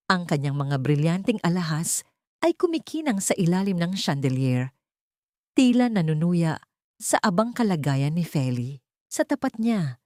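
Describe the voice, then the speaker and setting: Lowish-voiced woman narrating a dramatic story. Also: warm tone, dry recording, studio quality.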